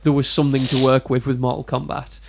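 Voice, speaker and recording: A man's voice: mid-range voice, flat delivery, fast talker, creaky, crowd noise. A man in his thirties co-hosting a casual podcast chat, wry and joking, laughing often. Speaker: podcast co-host.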